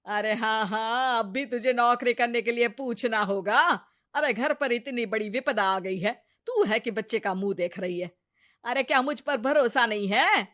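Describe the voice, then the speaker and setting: Woman doing a cranky mother-in-law, always grumbling and moaning, a bit high, dry close mic. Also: unhurried, a bit bright, dry recording. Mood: scolding. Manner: grumbling, self-pitying, scolding. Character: scolding middle-aged Indian mother-in-law, complaining. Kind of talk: cartoon voice acting.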